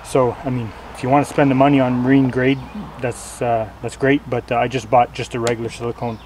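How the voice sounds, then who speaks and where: A man's voice: medium pitch, little pitch movement, fairly quick, darkish tone, a little hiss or hum, poor audio. A man casually talking through a do-it-yourself job outdoors in a plain, loose way, with fillers and self-corrections. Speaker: DIY instructor. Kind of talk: casual how-to explanation. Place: outdoors on a lawn.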